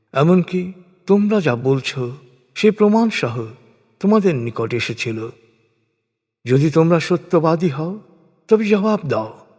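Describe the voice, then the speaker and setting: Slow, solemn man in long reverb. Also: a little high, a little mellow. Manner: measured, declamatory, with deliberate pauses between phrases. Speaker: reciter of a scripture translation. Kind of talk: solemn scripted religious recitation.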